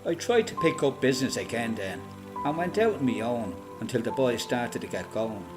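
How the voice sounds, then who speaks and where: A man with an Irish accent playing an elderly former freedom fighter reminiscing in a radio play, plain-spoken and quietly proud. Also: mid-range voice, lively intonation, moderate pace, music in the background, close to an everyday voice. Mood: matter-of-fact recollection. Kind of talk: radio play monologue, reminiscing as recorded testimony.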